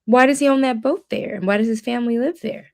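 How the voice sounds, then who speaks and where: A woman co-hosting a casual movie review podcast, chatty and full of quick questions. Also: fairly high pitch, darkish tone, no room sound, clean studio sound. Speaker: podcast co-host.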